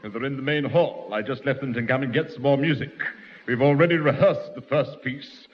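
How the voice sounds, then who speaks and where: A man's voice: mid-range voice, a little fast, natural voice. A man playing a pompous, fussy middle-aged choirmaster in a 1960s radio sitcom, with a repeated pet phrase. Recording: dull hissy old radio recording with reverb.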